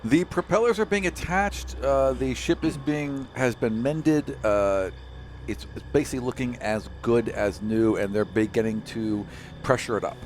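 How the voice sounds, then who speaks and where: A man's voice: mid-range voice, normal speed, darkish tone, a little hiss or hum. A man narrating a tabletop fantasy game as game master, casual and improvised.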